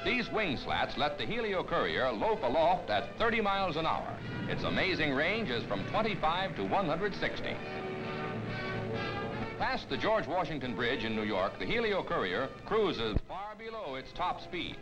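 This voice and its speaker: A male American narrator delivers scripted newsreel copy in the grand 1950s manner. His voice sits high and moves with lively, declamatory swings. Loud music plays behind him on the vintage film soundtrack. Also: normal speed, rough recording. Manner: dramatic, declamatory. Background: loud orchestral newsreel music.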